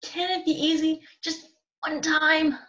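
A woman's voice: high voice, flat delivery, a little slow, bright, forceful tone. A woman playing an exasperated, bossy young female adventurer in a fan table read. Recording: muffled lo-fi video call.

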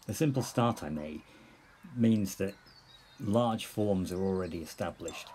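A man in his fifties explaining his painting outdoors in a sunny churchyard, relaxed and explanatory, with many fillers. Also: deep voice, a little hiss or hum. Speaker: painting instructor. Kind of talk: plein air painting demonstration. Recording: outdoor recording with clear birdsong. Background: birdsong and outdoor ambience.

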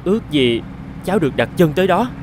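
A man voicing a poor, earnest teenage boy with big dreams in an animated moral tale, speaking with dramatic emotion. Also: sits high, fast, noisy background. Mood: wistful, eager.

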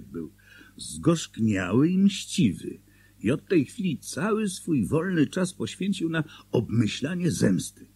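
A man's voice: fairly low pitch, dark tone, husky, exaggerated voice. An actor playing a proud, self-important former mayor telling his town's story in a radio drama, with pompous delivery.

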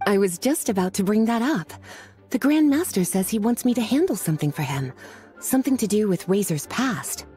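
A woman voicing a languid, knowing witch in an anime video game, with an unhurried, coy, teasing manner. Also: mid-range voice, expressive, warm tone, faint music behind, natural voice.

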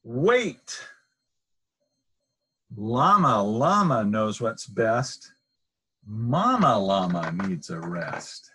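Middle-aged male storybook reader, husky tone, very lively pitch, sing-song delivery for young children. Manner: deliberate, sing-song read-aloud delivery. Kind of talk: reading a children's picture book aloud. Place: small indoor room.

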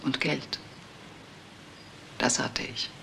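An actress playing a bitter, betrayed middle-aged wife and mother who confesses haltingly in a crime radio play. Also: pushed and bright, in a whisper. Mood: strained confessing.

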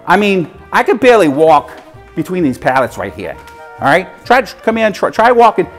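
A man in his forties plays a bogus safety inspector, lecturing with rambling, mock-serious authority. His voice sits high for a man. He is recorded inside a large warehouse, with faint music underneath. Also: very animated, normal speed. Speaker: prank host posing as safety inspector. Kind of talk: improvised deadpan prank bluster.

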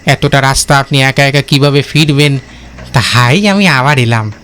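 A man's voice: medium pitch, measured pace, fairly bright tone, a little hiss or hum, lightly acted. A man voicing a helpful, nervous village van driver in a cartoon, deferential and hesitant, repeating his words. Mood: explaining kindly. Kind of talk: cartoon voice acting.

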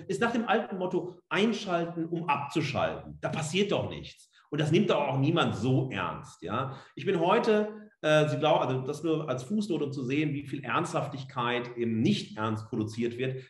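A middle-aged man giving a free-spoken academic lecture as a professor, emphatic and rhetorical, repeating phrases and pausing for weight. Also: fairly high pitch. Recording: echoey room sound.

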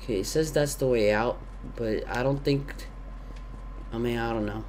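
A man's voice: mid-range voice, normal speed, darkish tone, a little hiss or hum. A young man with a sore throat and sniffles, chatting casually and thinking aloud with lots of fillers while he plays a game, talking into a headset at home. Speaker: gaming YouTuber. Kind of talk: casual let's-play commentary. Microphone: gaming headset.

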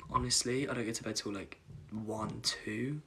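A young man with a croaky voice chatting casually on a phone livestream from his bedroom at night, reading comments aloud and apologizing often. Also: lowish voice, fairly even intonation, moderate pace, fairly bright tone. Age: young adult. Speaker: livestream host. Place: dim bedroom at night, indoors. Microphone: phone camera at arm's length.

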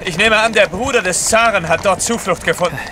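An actor playing a resolute young-spirited imperial courier in an audio drama, earnest and dutiful. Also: male voice, high-pitched, brisk, background noise, lightly acted. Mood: urgent. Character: resolute heroic male courier of the Tsar. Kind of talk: German audio drama acting.

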